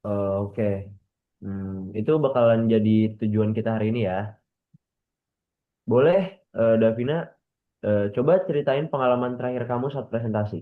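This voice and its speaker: A man in his late twenties leading a practice coaching session over a video call, speaking gently and informally with frequent hesitations. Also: medium pitch, moderate pace, long pauses, phone-quality sound. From Bekasi, Indonesia. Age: 20s. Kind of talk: role-play coaching session.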